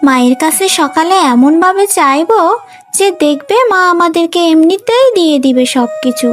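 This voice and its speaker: Woman playing a high-pitched scheming wife. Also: animated, normal speed, music in the background. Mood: bossy, scheming. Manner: domineering, wheedling. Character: greedy, bossy, scheming wife and daughter-in-law. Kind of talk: cartoon voice acting.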